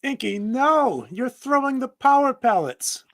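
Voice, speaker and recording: A man's voice: very high-pitched, lively intonation, unhurried, fairly bright tone, full character voice. A man voicing a scheming, nervy cartoon ghost monster in a table read, wheedling as he talks. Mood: panicked.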